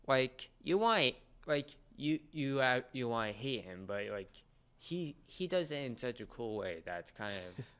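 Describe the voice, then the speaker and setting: A man chatting casually as a fan, often halting and searching for his words. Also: medium pitch, sing-song, fairly bright tone, clean tone.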